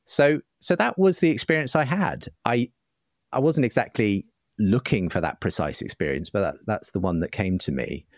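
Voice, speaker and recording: A low-voiced man in a reflective interview conversation, recorded close and dry. He feels his way through his answers, qualifying and rephrasing as he goes. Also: animated, moderate pace, dry recording. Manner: hesitant, self-qualifying, searching for words. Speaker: interview guest, writer and broadcaster.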